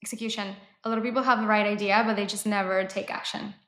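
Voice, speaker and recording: A woman's voice: mid-range voice, fairly even intonation, fast, fairly bright tone. A woman from Mexico, a native Spanish speaker, talking casually as an interview guest and startup founder. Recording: roomy, echoey sound.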